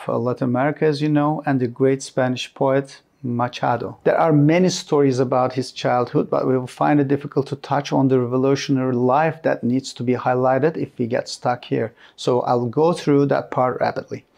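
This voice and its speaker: A man with a Turkish accent, a native Turkish speaker, hosting a history channel and narrating earnestly with careful, slightly halting delivery. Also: mid-range voice, creaky, mellow. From Turkey.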